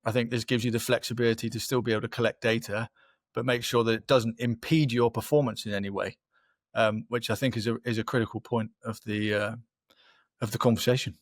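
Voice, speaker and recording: A man's voice: mid-range voice, steady tone, fairly quick, no echo, clean studio sound. A man giving explanatory answers as a company representative on a webinar panel, in long, qualified sentences.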